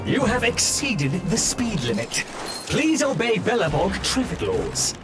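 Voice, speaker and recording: A man's voice: extremely high pitch, very animated, measured pace, full character voice. A man voicing an eager, officious robot tour guide in a video game, with formal, sing-song announcer phrasing. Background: game background music.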